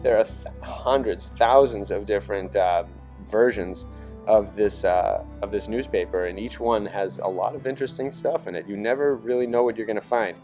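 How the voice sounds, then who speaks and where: A man with a fairly low voice narrates in a casual, rambling style. He muses and speculates with a conspiratorial bent, as if thinking aloud. Soft music plays faintly behind him. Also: moderate pace. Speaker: video narrator. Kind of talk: casual rambling commentary over archive images. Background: background music.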